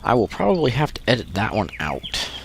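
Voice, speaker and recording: A man's voice: medium pitch, wildly swooping pitch, a little fast, vocal fry, faint music behind. A man with a stuffy nose from allergies, giving laid-back, joking commentary over gameplay. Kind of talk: let's play commentary.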